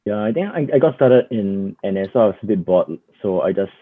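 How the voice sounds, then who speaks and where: A man in his twenties with a Singaporean accent, a student answering quickly in an interview and leaning on filler likes. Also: lowish voice, lively intonation, husky. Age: 20s. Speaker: university student. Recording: tinny phone-like sound.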